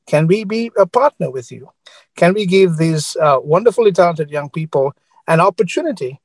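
Earnest Sri man, raised pitch, dry close mic, exec guest on a panel. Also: animated, moderate pace, dry recording. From Sri Lanka. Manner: earnest, keeps adding a checking "right?". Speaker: media executive guest.

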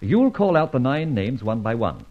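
An actor playing a suave, mocking gentleman adventurer, sardonic and mock-courteous, in an old radio drama. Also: mid-range voice, sing-song, moderate pace. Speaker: lead and narrator of radio serial. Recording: muffled old radio recording.

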